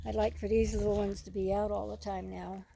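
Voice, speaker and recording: A woman's voice: medium pitch, flat delivery, a little fast, creaky, warm tone, a little hiss or hum. A woman rambling casually in a folksy, repetitive way as she narrates a farm video outdoors. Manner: folksy, rambling, repeats herself. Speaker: farm vlogger. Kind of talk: casual unscripted narration while filming animals. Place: outdoors on a farm, among poultry.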